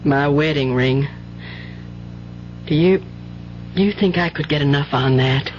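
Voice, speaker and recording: A dull old radio drama recording with a faint hum. a weary American wife and mother, guarded and plain-spoken, in a low voice for a woman. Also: a little slow. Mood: hurt, wary. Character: weary, wary working-class wife and mother.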